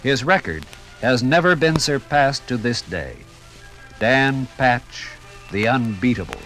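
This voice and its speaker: A man's voice: mid-range voice, lively intonation, takes it slowly, fairly bright tone, soft background music, low-quality recording. A man giving theatrical, rhetorical scripted narration as the narrator of a 1950s radio documentary.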